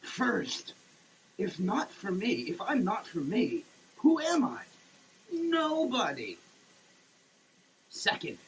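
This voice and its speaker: A man giving a dramatic, preachy reading with emphatic pauses, like a sermon. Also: high-pitched, a little hiss or hum, rough recording. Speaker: sketch performer. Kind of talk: dramatic reading of a soap label, declaimed like a sermon. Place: shower, per the page.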